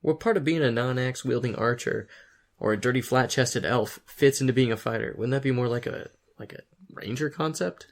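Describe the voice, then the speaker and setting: A man's voice: mid-range voice, fairly quick, lightly acted. A man playing a crude, exasperated fighter trainer in a comic radio drama, blunt and sweary in his bewilderment.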